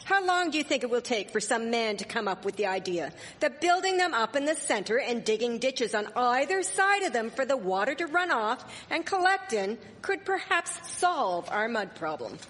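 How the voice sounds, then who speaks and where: A proper, sharp-witted Victorian townswoman, played by a woman in a live radio drama. She speaks wryly in a high, animated voice that echoes in a hall. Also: normal speed, few pauses.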